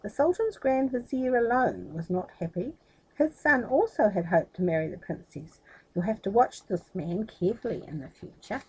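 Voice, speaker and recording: A woman's voice: medium pitch, big pitch swings, moderate pace, husky, rough recording. A woman voicing a humble, anxious, devoted mother in a storybook tale. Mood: worried.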